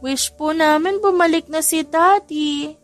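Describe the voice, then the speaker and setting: A woman plays a small boy in an audio romance story. He is high-pitched and slow, clinging and pleading like a child who wants his parent. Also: soft background music. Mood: sad, pleading. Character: tearful, insistent young boy missing his daddy.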